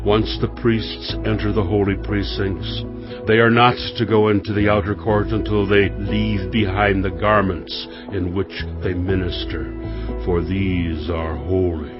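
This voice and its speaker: An actor playing a solemn heavenly guide, giving deliberate, instructive lines in a dramatized scripture reading. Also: male voice, deep voice, little pitch movement. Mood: grave, instructive. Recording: dull sound under prominent music.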